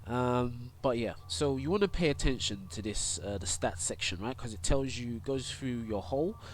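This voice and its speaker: A man's voice: medium pitch, expressive, normal speed. A young man with a cold, congested, casually walking through a game tutorial full of fillers and restarts. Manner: rambling, many fillers and restarts. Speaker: gaming YouTuber and streamer.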